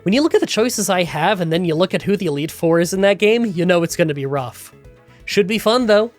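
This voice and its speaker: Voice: mid-range voice, expressive, a little fast, faint music behind. A gaming YouTuber with a nasal, congested voice from a cold, chatting through a challenge recap and rambling story into a close voiceover mic.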